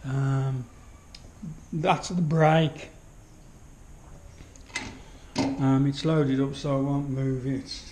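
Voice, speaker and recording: A man giving a relaxed walkthrough of his home gym, admiring each piece of kit with many pauses and fillers, recorded on a handheld action camera in a small indoor room. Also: mid-range voice, slight background noise. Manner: unhurried, full of fillers, admiring. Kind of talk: casual walkthrough commentary. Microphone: action camera held while walking.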